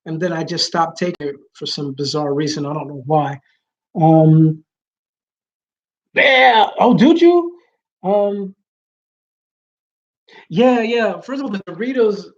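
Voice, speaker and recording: A man with a naturally high voice who talks loudly, rambling with many fillers and restarts in a casual live chat with his viewers. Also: animated, measured pace, long pauses, highs cut off. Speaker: vlogger.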